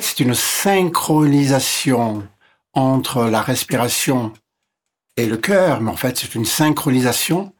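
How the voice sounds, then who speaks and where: A man with a slightly husky, breathy voice, whose first language is English, talks as a physician guest. His pitch sits in an ordinary middle range. He explains in a measured way, listing and restating his points. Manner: measured, lists and repeats points while explaining. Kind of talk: explanatory interview talk.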